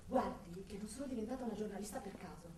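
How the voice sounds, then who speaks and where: A woman's voice: mid-range voice, fast talker, warm tone, a little hiss or hum, lightly acted. An actress playing a pushy, ambitious television reporter in a theatrical radio drama, insistent with her pleading questions. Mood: insistent, urgent. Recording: echoey, distant stage sound with music.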